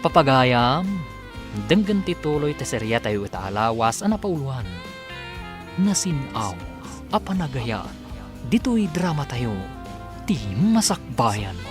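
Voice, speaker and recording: A man's voice: mid-range voice, sing-song, a little slow, long pauses. A man with an Ilocano accent announcing and narrating a radio drama in a dramatic scripted style. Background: music.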